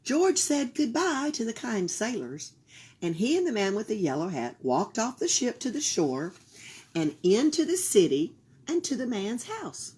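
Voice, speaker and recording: A woman reading a children's picture book aloud at a library storytime, with a lively voice that rises and falls a lot. She takes it slowly, her tone soft and breathy, and sometimes drops to a whisper for suspense. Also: on the high side.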